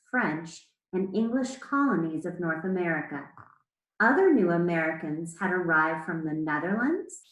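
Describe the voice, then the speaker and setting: A woman with a mid-pitched voice reads aloud as an elementary teacher would. Her delivery is measured and explanatory, with pauses between phrases. The recording is roomy and echoey. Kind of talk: read-aloud lesson to children.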